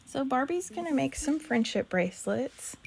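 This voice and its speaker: A woman with a hoarse voice calmly narrating a life-simulation game as host of a let's play. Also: high voice, normal speed, slight background noise. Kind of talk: gameplay narration.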